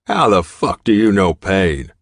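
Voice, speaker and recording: A man's voice: deep voice, big pitch swings, unhurried, dry recording, studio quality, voice pushed up, heavily acted. A man voicing a tough, hot-tempered, protective male biker with a blunt manner in an audiobook. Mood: angry, barking. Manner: barking, blunt.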